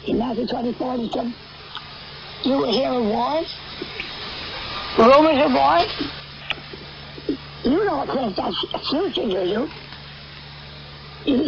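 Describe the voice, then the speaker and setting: High-voiced American woman preaching over noisy shortwave. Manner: halting, repetitive, emphatic delivery. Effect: shortwave radio. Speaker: radio preacher. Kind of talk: rambling end-times sermon. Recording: noisy, muffled shortwave radio capture.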